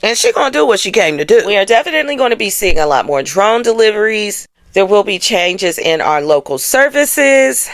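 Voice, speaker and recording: An American woman speaks as an online host, explaining things casually at a medium pitch. Her delivery is colloquial and emphatic, checking in with listeners as she goes. The sound is close and dry, with very little room in it. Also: expressive, a little fast, few pauses, no room sound. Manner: conversational, colloquial, emphatic, with frequent check-in tags. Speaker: astrology host. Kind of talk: casual explanatory monologue to viewers.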